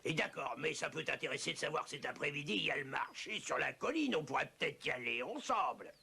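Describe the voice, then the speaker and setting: A man's voice: fairly high pitch, lively intonation, fast talker, vocal fry, breathy edge, exaggerated voice. A man voicing a sly, greedy big bad wolf in a French children's cartoon, theatrical and wheedling. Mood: wheedling, falsely friendly. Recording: loud music behind the voice.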